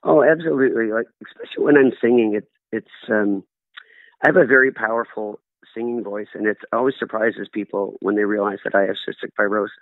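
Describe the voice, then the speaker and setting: A man's voice: on the low side, fairly even intonation, a little fast, no echo. A middle-aged man, a guest telling stories earnestly in an interview. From Canada. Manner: earnest, storytelling with acted-out quotes. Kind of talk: conversational interview answers. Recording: dry, tinny phone-like line.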